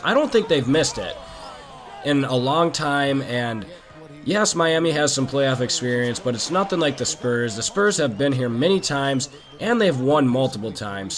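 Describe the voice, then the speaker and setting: A man with a hoarse, worn voice talks casually over a video game. He is opinionated and chatty, addressing his viewers directly. His pitch sits in the middle range. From Minnesota, USA. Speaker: gaming channel host. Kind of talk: casual sports talk over gameplay.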